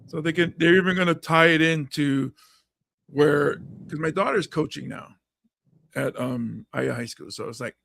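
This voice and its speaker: A middle-aged man with a raspy, gravelly voice, a bit hoarse, hosting a podcast chat. He talks in a lively way, acts out quotes, and laughs often. His pitch sits fairly high for a man, and the sound is dry and close, with little room echo. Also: normal speed. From Hawaii. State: hoarse from coaching. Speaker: podcast host and comedian.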